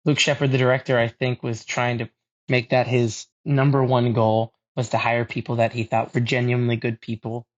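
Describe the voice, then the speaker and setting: A male actor tells stories in an upbeat, casual way during an interview, often stammering and restarting his sentences. His voice sits at an ordinary middle pitch. The recording sounds a little muffled and lo-fi. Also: little pitch movement, moderate pace. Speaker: Broadway actor guest.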